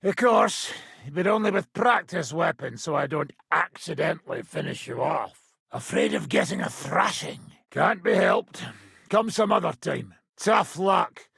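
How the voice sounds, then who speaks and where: An actor playing a brash, hard-drinking medieval fighter and combat trainer in a video game, gruff and taunting, with a raspy, gravelly voice. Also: male voice, a little high, expressive, measured pace, a bit bright, no room sound, clean studio sound, full character voice.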